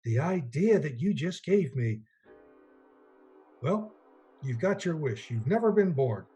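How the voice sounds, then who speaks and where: A soft-toned, husky guardian angel acted by a man in an old-fashioned radio play. He is rather high for a man, naive and eager, speaking with earnest, quaint politeness. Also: big pitch swings, measured pace, soft background music, exaggerated voice. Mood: earnest, coaxing. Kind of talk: 1940s-style radio play acting.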